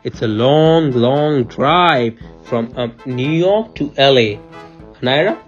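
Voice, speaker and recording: A woman reads aloud to a child in a low voice with animated, swinging intonation. She is playful and teacherly, repeating and prompting as she goes, and takes an unhurried pace. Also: husky, muffled recording, soft background music. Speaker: parent reading a picture book to a child. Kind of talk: animated read-aloud storytelling with questions to a child.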